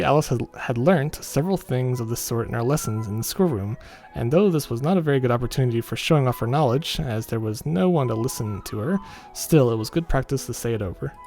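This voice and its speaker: A man's voice: mid-range voice, vocal fry, darkish tone. Man casually reading a visual novel, music behind. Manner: informal, stumbles over text and rereads. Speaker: gaming video host reading a visual novel aloud. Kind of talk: casual read-aloud with commentary. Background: background music.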